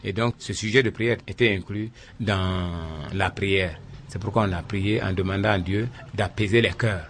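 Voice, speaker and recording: A man's voice: on the low side, steady tone, normal speed, few pauses, fairly bright tone. A man, a pastor giving testimony in an interview. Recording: noisy field recording with ambience.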